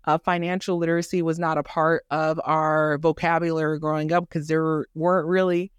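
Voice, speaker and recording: A woman's voice: low voice, flat delivery, moderate pace, smooth, dry recording. A woman from Haiti who grew up in Miami, telling her story conversationally as a podcast guest in an earnest, emphatic manner. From born in Haiti, raised in Miami. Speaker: podcast guest, doctor and rental operator.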